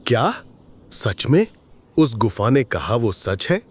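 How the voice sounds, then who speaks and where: A man voicing an imperious lion king of the jungle in an animated fable, commanding and deliberate. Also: medium pitch, husky, exaggerated voice. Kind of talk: Hindi animated fable dialogue.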